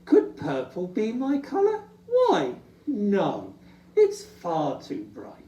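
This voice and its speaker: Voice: medium pitch, huge pitch swings, slow pace, mellow, voice pushed up. A storybook reader voicing a troubled, fussy old Santa who sighs and laments in sing-song rhyme. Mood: fretful. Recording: echoey room, distant from mic.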